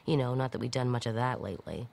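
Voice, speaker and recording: A woman's voice: rumbling low, fast talker, creaky, darkish tone. An actress playing a defiant woman fugitive who recounts her escape in a wry, conversational, matter-of-fact way in an audio drama. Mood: wry, weary.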